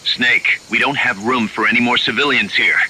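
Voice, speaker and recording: An actor playing a brisk, easygoing military comrade giving radio support in a video game. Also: male voice, mid-range voice, sharp tone, slight background noise, low-quality recording. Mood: businesslike. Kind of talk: dramatic video game acting.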